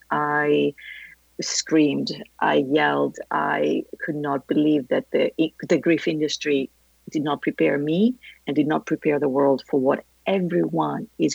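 A woman from Greece answering interview questions, animated and laughing often, with many restarts. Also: sits low, gravelly, husky, no echo, highs cut off. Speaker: guest, grief educator.

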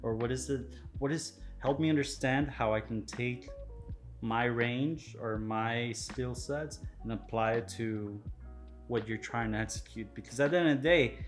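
Relaxed man giving podcast advice. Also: medium pitch, a little slow, faint music behind. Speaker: podcast host. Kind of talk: casual podcast chat.

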